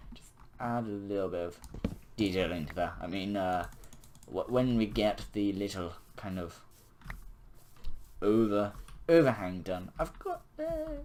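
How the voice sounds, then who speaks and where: A hoarse young man with a nearly lost voice, chatting casually and mocking himself while he builds in a game. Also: on the low side, darkish tone, slight background noise. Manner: self-mocking, chuckling, rambling. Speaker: gaming video creator.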